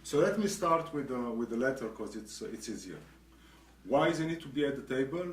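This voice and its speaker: A middle-aged man answering interview questions, hesitant, pausing often and restarting sentences. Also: medium pitch, expressive. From grew up in Israel. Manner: hesitant, many pauses and restarts. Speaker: guest, association executive. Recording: echoey room, distant mic, faint noise.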